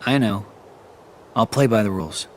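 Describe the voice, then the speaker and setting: A man's voice: on the low side, normal speed, slight background noise, natural voice. A young man playing a serious, earnest male hero in a video game, restrained in manner. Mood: firm, earnest.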